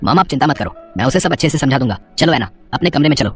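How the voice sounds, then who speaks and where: A man voicing a young married son in a story, brisk and matter-of-fact. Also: mid-range voice, animated, breathy edge, faint music behind. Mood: reassuring, hurried.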